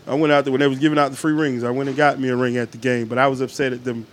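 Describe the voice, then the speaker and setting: A man's voice: medium pitch, level tone, very fast, slight background noise. A middle-aged man, a podcast guest telling loose, joking, profane stories in casual chat. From Atlanta, Georgia. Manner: laid-back, joking, profane storytelling.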